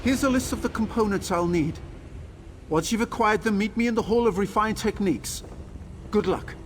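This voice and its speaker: Man playing a high-pitched, excitable scholarly tinkerer. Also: moderate pace, slight background noise, close to an everyday voice. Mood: alarmed, resigned. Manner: erudite, formal, exclamatory. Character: excitable, fussy scholarly tinkerer, curious and anxious. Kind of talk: video game character dialogue.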